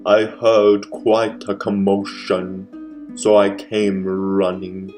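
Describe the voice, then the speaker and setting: A man voicing a solemn, courteous gentleman in an old-time radio comedy mystery. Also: on the low side, flat delivery, drawn-out words, soft background music, voice pushed down. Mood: grave, calm.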